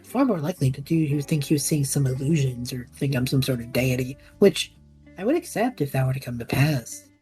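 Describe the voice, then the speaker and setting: Woman voicing a low, flippant young guy student. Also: animated, brisk, mellow, soft background music. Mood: smug. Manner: casual, flippant. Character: cocky, careless young male student Trevor, flippant schemer. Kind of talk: audio drama acting.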